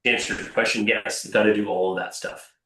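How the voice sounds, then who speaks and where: Male founder, emphatic and fast to repeat himself, lowish voice, roomy sound. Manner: energetic, emphatic, repeats words. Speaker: robotics company founder. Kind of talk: panel discussion answer. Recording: echoey room, off-mic.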